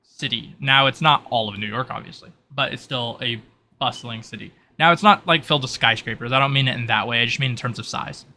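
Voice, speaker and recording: A man improvising narration as game master, explaining the scene in a halting, conversational way. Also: medium pitch, pushed and bright, slight background noise. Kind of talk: improvised narration of a tabletop roleplay.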